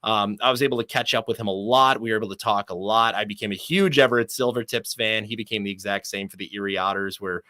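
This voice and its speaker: A man's voice: fairly low pitch, fast, hardly any pauses, sharp tone, no echo. A man who works as a sports announcer, chatting casually and at length as an interview guest, telling anecdotes in a fluent, talkative way.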